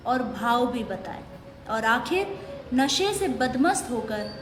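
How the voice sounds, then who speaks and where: A woman's voice: fairly high pitch, big pitch swings, takes it slowly, slight background noise. A woman narrating a story dramatically in an audio play. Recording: echoey hall, music underneath.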